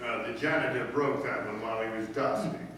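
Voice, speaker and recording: A man's voice: medium pitch, brisk, fairly bright tone, lightly acted. Man playing a pompous, stern principal, very echoey. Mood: exasperated. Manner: pompous, authoritarian, formal diction. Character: stern pompous male high school principal. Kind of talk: old-time radio comedy acting. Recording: echoey room from far off, faint noise.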